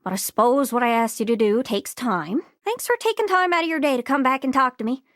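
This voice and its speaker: Excitable, high-pitched, quirky living globe. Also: female voice, fairly quick, no room sound, clean studio sound. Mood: wistful, sweet. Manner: chatty, goofy, gushing, self-deprecating. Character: excitable, sweet, quirky female living globe, young-sounding. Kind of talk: video game character voice acting.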